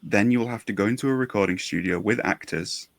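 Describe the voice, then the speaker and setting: A man's voice: on the low side, fast, gravelly, no echo, clean studio sound. A man hosting a conversational interview, working through long, exploratory questions and restating as he goes. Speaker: podcast host, interviewer.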